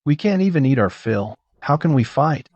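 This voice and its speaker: A man's voice: fairly high pitch, animated, moderate pace, a little mellow, dry recording, muffled recording. A man playing a plain, earnest soldier in a dubbed comic drama. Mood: aggrieved, earnest. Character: plain, earnest male soldier reporting and pleading.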